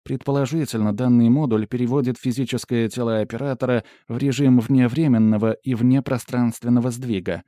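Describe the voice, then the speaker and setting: A man's voice: mid-range voice, steady tone, a little fast, few pauses, warm tone, dry recording, studio quality, close to an everyday voice. A man voicing a female AI assistant in an audiobook, reporting formally and precisely in technical sentences. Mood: analytical. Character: Pandora, female AI assistant, precise and matter-of-fact. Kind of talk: audiobook character dialogue.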